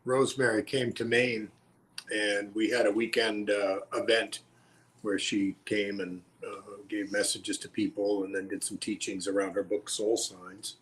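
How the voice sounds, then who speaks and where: A man's voice: fairly low pitch, level tone, unhurried, a bit bright, poor audio. A man speaking as a guest, casually chatting and telling personal stories. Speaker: guest storyteller. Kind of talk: casual chat and personal storytelling.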